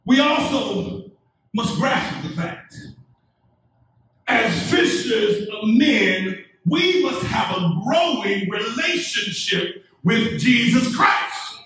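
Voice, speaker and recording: A middle-aged man preaching a sermon as pastor, in measured phrases that build up to emphatic calls answered by the congregation. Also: sits high, sing-song, sharp tone. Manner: measured preaching with call-and-response and rising emphatic build-ups. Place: church sanctuary, indoors, medium-sized. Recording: echoey church sanctuary from a distance.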